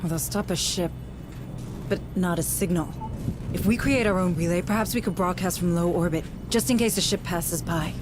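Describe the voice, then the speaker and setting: Earnest, lowish-voiced woman administrator as acted. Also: moderate pace, natural voice, low-quality recording. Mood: worried, urgent. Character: earnest, determined female company administrator, civilian among soldiers. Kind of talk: video game cutscene acting. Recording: music and vehicle rumble behind.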